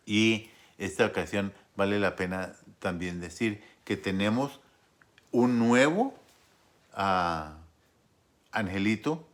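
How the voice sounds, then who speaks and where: A man preaching a sermon to his congregation, unhurried, with frequent fillers, restarts and asides like "¿no?". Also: on the low side. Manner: warm pastoral tone, asks "¿no?" often.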